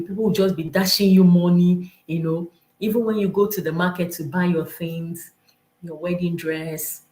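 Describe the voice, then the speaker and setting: Woman, Nigerian accent, lowish pitch, emphatic, repetitive, conversational teaching, echoing room, live-stream sound. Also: a little mellow. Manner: emphatic, repetitive, conversational, acting out imagined remarks.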